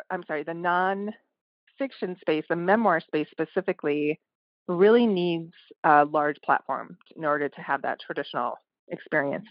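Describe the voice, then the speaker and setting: Woman guest on a podcast, lowish voice, dry lo-fi sound, thinks out loud. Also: no room sound. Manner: thoughtful, with fillers and restarts. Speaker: guest, independent publisher. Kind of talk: casual podcast interview.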